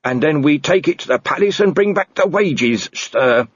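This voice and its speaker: A man's voice: a little high, animated, normal speed, breathy edge, dry recording, muffled recording, pitched above the performer's own voice. A man voicing an earnest, dogged young male constable who stays politely insistent with his superior. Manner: politely insistent, ends lines with sir.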